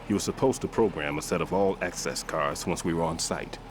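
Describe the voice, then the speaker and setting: A man playing a grave, injured bomb disposal expert in a video game, speaking in a measured, technical, solemn way. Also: low-pitched, noisy background, lightly acted. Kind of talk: video game cutscene acting.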